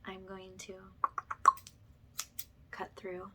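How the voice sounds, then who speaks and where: A woman speaking softly and breathily, often slipping into a whisper, gently reassuring in the style of a guided ASMR energy-healing session. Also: medium pitch, monotone, very noisy. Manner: gentle, slow, reassuring, affirming.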